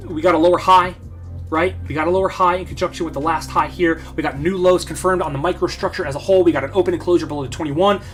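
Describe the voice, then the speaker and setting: Blunt, slightly hoarse man analyzing charts. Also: on the high side, fairly even intonation, fast, fairly bright tone, faint music behind. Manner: blunt, emphatic, repeats key phrases, frequent uh fillers. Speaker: market analysis channel host. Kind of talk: casual chart analysis talk.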